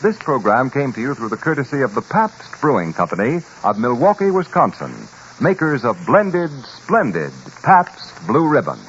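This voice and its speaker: A male announcer reads scripted vintage radio copy with polished formality. His mid-pitched voice rises and falls in a very lively, emphatic way. The recording is dull, with a little background noise. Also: moderate pace. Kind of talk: 1940s scripted sponsor announcement. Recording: muffled, faintly noisy vintage radio recording.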